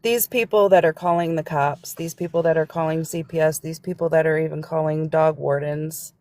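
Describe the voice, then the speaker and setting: A woman's voice: low-pitched, steady tone, moderate pace, a little mellow, dry recording. A woman, a guest on a livestream, speaking earnestly and lecturing, sometimes searching for her words. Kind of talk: casual livestream chat.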